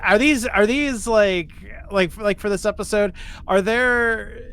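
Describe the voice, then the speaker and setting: High-voiced man, slow casual talker. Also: a bit bright, clear, resonant tone. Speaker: podcast co-host. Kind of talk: casual chat.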